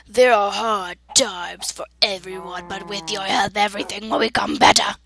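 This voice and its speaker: A woman voicing a solemn king from a video game in a creepy, breathy, drawn-out voice. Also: medium pitch, steady tone, a crowd in the background, lightly acted. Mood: solemn, urging. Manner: slow, drawn-out, portentous. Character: solemn male king, creaky and slow-spoken. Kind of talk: video game dialogue read aloud.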